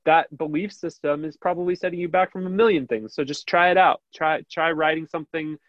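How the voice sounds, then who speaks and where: A radio host with a high, nasal voice talks in a loose, rambling way, full of fillers and restarts. His voice sounds dry and close, with a dull, lo-fi quality. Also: steady tone, a little fast, dry recording. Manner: rambling, many fillers and restarts, laughs easily. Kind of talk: casual interview chat.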